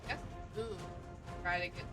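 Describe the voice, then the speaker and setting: Male game player, notably high voice, hesitant casual delivery, clear music behind. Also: steady tone, moderate pace, pushed and bright. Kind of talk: casual tabletop game talk.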